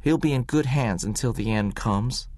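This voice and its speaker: A man reading aloud and voicing a firm but kindly middle-aged woman country doctor who speaks slowly and insists gently. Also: medium pitch, dry recording, close to an everyday voice.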